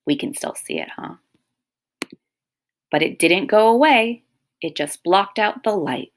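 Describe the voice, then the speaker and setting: A woman reading a children's picture book aloud expressively, pausing to comment and explain to young listeners. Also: mid-range voice, animated.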